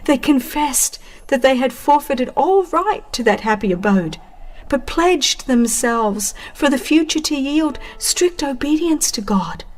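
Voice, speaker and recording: A woman reading a religious book as a solemn, measured audiobook narrator with dramatic phrasing. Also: high voice, lively intonation.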